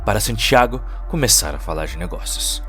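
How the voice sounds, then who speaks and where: A man's voice: on the low side, expressive, a little fast, fairly bright tone, soft background music. A man with a Brazilian accent reading a dramatic scripted story as narrator of an audio drama.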